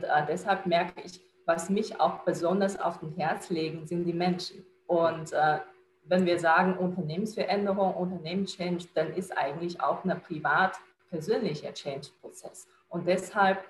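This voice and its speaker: A woman with a Chinese accent speaks German, her first language being Chinese, pausing often on fillers as she explains her work. Her voice sits a little low for a woman. The recording has echo and faint noise. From China. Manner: hesitant, many fillers, non-native grammar. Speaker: business consultant. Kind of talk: conversational interview answer.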